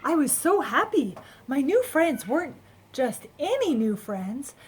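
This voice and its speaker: A woman's voice: high voice, unhurried. A woman voicing a cheerful, naive little male snowman who chats wide-eyed through his adventure in a children's storybook. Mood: excited. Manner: wide-eyed, chatty, animated. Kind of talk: children's storybook read-aloud.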